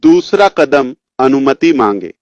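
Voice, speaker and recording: Male executive, fifties, medium pitch, slow informal motivational address to members, dry close sound. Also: big pitch swings, a little mellow, no room sound. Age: 50s. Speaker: company chief executive giving an update. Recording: dull lo-fi audio, dry.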